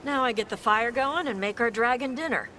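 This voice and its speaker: A woman's voice: on the high side, a little fast, background noise, lightly acted. An actress playing a tough dragon hunter woman in a video game, speaking in a terse, matter-of-fact way. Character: blunt, tough Qunari dragon hunter woman from a seafaring land. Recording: game sound effects over voice.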